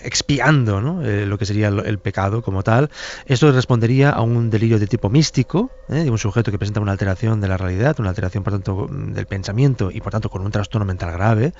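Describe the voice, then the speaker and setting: A man explaining as an expert, unscripted, halting and repeating himself as he searches for words. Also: on the low side, darkish tone, a little hiss or hum. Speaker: expert commentator, doctor. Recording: dull, muffled lo-fi sound.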